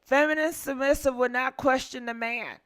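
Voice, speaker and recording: A woman's voice: sits high, fairly even intonation, normal speed, a bit bright, smooth. A woman hosting a live gossip call-in show, acting out a preachy role play and teasing her callers.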